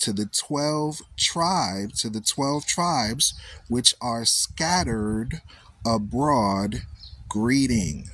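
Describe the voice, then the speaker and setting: A man's voice: medium pitch, breathy edge. A man hosting a talk radio show, speaking in a loose, preaching style and asking "right?" after most points. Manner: animated storytelling, repeats "right?" often.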